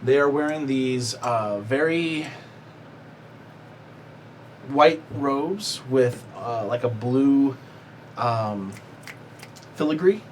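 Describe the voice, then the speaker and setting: A man's voice: medium pitch, expressive, very slow, pauses often. Laughing game master man, noisy room. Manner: laughing, playful, rambling with fillers. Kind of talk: narrating a tabletop game.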